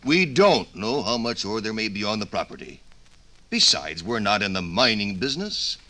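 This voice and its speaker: Gruff old tycoon father, wildly swinging pitch. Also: big pitch swings, moderate pace, pushed and bright. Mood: firm, emphatic. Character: gruff, skeptical older railroad magnate father. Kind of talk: 1950s radio dramatization.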